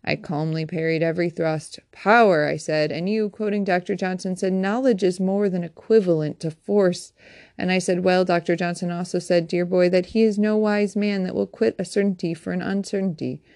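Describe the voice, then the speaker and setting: Smug male fire captain, read by a woman, pompous storybook villain fond of quotations, low creaky voice. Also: fairly even intonation, normal speed, darkish tone, no echo, studio quality, close to an everyday voice. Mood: taunting, emphatic. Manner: theatrical, pompous, mocking. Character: smug, taunting fire captain, a man who loves quoting.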